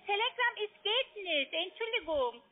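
A woman with a foreign accent, not a native speaker, working as a call centre agent, pressing and repetitive in halting sentences. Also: very high-pitched, very animated, crowd noise. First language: not German. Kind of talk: phone sales call. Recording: muffled narrow phone line.

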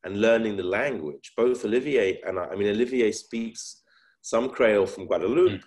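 A man's voice: fairly low pitch, normal speed, a little mellow. A man speaking as a filmmaker on an online panel, reflecting in a thoughtful, searching way and rephrasing as he goes. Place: indoor room on a video call. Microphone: video call.

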